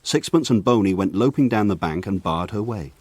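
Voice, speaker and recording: An actor playing a grown man who narrates his rough village boyhood in a measured, wry radio drama style. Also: fairly low pitch, expressive, darkish tone. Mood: wry, reflective. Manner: measured, wry, lyrical phrasing. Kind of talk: scripted radio drama narration, literary storytelling.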